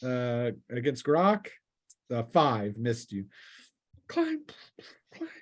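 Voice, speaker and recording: A man's voice: medium pitch, huge pitch swings, drawn-out words. A man speaking casually as game master, narrating a tabletop role-playing game with vivid sound-effect words.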